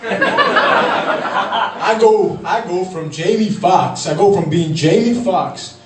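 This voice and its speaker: A man from India with an urban American accent performing stand-up comedy, slangy and profane, with theatrical pauses. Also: high-pitched, huge pitch swings. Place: live comedy club stage. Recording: roomy club, distant mic, loud noise.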